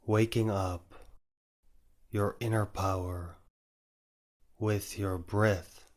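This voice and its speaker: Very slow, low-voiced man guiding meditation. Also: steady tone, a little mellow. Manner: measured, instructive, short phrases with long pauses. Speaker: meditation guide. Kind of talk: guided meditation.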